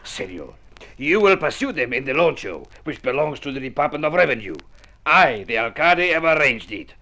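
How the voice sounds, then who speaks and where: An actor playing a fussy, excitable Latin American mayor and father in an old radio drama, effusive and exclamatory, with a stage Spanish accent. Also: medium pitch, moderate pace. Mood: urgent, excited. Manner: effusive, exclamatory, chuckling host.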